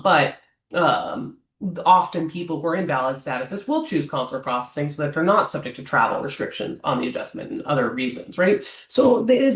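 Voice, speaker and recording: Man as an immigration lawyer explaining options; fairly high voice, loose off-the-cuff delivery full of "um" and "right?", recorded in a reverberant room. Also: a bit bright. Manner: talks off the cuff, ends many points with a questioning "right?"; frequent "um" fillers and restarts. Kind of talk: explanatory advice talk to clients. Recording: roomy echo.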